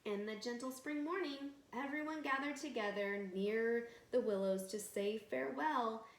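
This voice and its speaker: A woman reading a picture book aloud in an expressive, deliberate, teacherly way, stressing key words and adding asides for young listeners. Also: a little high. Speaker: read-aloud storyteller. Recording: echoey room with faint background noise.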